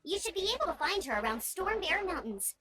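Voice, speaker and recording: A woman's voice: very high-pitched, very animated, a little fast, heavy background noise. A woman playing a perky, encouraging young scout knight in a video game, speaking in an upbeat, eager, friendly way. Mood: friendly explaining. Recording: loud game music behind.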